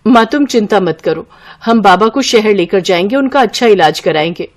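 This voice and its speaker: A woman's voice: mid-range voice, expressive, moderate pace, close to an everyday voice. A woman voicing an eager, curious young village daughter in a storybook tale. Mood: earnest, reassuring. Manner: questioning, eager. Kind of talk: storybook character voice.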